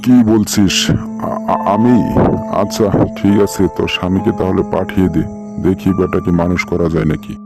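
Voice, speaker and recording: A man voicing a gruff, short-tempered, bossy tiger uncle in a comic cartoon. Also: low voice, fairly even intonation, normal speed, over music. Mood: reluctant.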